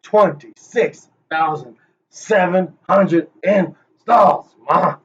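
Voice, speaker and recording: Hyped joking man, high voice. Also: drawn-out words, breathy edge. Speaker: presenter. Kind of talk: rehearsing a playful conference talk. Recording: dull lo-fi recording.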